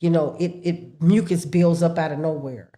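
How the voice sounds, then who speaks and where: Woman in her sixties, slightly low voice, lively storytelling, laughs a lot. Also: normal speed. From United States. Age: 60s. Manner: animated storyteller, laughs often, acts out her family's voices. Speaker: podcast guest. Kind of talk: casual chat.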